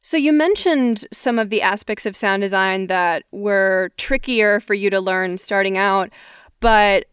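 Very clear-voiced woman interviewer. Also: mid-range voice, unhurried, fairly bright tone, no room sound, studio quality. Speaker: podcast interviewer. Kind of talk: interview questions.

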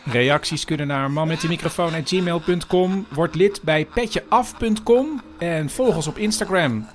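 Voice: mid-range voice, unhurried. A podcast narrator, giving a voice-over.

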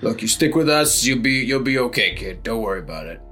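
A man's voice: medium pitch, very animated, fairly quick, pushed and bright, soft background music. A man playing an impatient, evasive cult henchman in a tabletop game, talking in casual, slangy, exasperated bursts. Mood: evasive, reassuring. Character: gruff, exasperated cult raider, reassuring and evasive.

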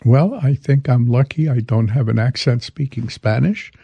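A man in his eighties from Hungary, speaking haltingly as he reminisces as an interview guest. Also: medium pitch, gravelly, mellow, husky, no echo, dull audio. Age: 80s. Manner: halting, hesitant delivery. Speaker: interview guest, veteran commodities exchange trader.

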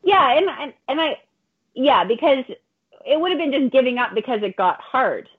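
A woman's voice: sits high, animated, normal speed, breathy edge. A woman in her late forties, an athlete and coach, talking casually and candidly in an interview, often poking fun at herself. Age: late 40s. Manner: candid, self-deprecating. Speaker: guest, veteran triathlete and running coach. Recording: thin phone-like call audio.

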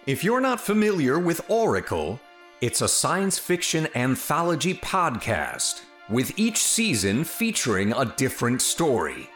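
A man hosting a podcast, reading a scripted promotional introduction with deliberate, emphatic phrasing. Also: medium pitch, wildly swooping pitch, a bit bright. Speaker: podcast host introducing a show. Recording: roomy sound with music underneath.

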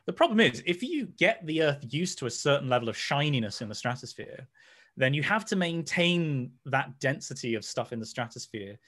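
A man's voice: mid-range voice, very animated, normal speed, a bit bright. A man explaining science casually on an online call, digressing and reaching for analogies.

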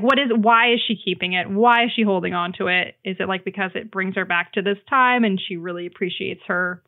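A woman's voice: mid-range voice, phone-quality sound. A woman from New Jersey chatting informally as a podcast guest, peppering her sentences with "like". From New Jersey, United States. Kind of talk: casual conversational chat.

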